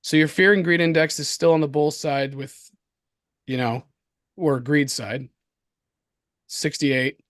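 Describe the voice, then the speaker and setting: A man with a hoarse voice co-hosts a casual market commentary show, talking in an excitable, slangy, repetitive way. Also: fairly high pitch, unhurried, long pauses, dry recording, studio quality. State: hoarse from coughing. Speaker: crypto show co-host.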